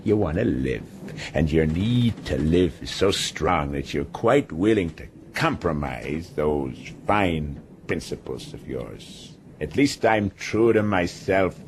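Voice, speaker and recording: A bossy sardonic sea captain, radio drama, deep voice, bit of background noise. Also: male voice, big pitch swings, takes it slowly, exaggerated voice. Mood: needling. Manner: taunting, sardonic, commanding. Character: domineering, philosophical, mocking male ship's captain. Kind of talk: broadcast radio drama acting.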